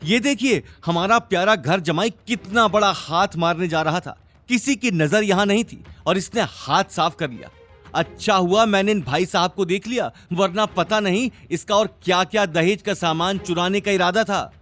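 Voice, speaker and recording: A man's voice: sits high, normal speed, fairly bright tone, soft background music, pitched above the performer's own voice. A man playing a smug, mocking young relative in an audio drama. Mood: accusing, gloating. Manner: mocking, taunting.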